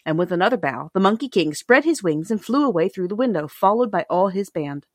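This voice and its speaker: A woman's voice: mid-range voice, lively intonation, brisk, darkish tone, no echo, clean studio sound. A woman reading scripted storybook narration as an audiobook narrator.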